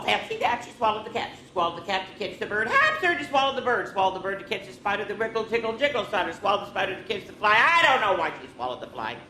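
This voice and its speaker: Animated woman reading stories to children. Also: lowish voice. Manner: chatty, interactive, prompting young listeners to join in. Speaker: children's story-time host. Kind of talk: animated read-aloud storytelling for children. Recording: roomy echo with background noise.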